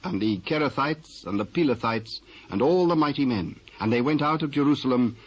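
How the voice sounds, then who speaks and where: A British man narrating scripture from a script in a formal, measured storytelling manner. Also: mid-range voice, expressive, husky. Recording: muffled old cassette.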